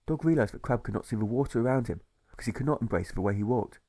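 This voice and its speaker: A man reading a scripted fable as an audiobook narrator, in a measured, deliberate storybook manner. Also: medium pitch, lively intonation, dark tone, no echo. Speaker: author reading own audiobook.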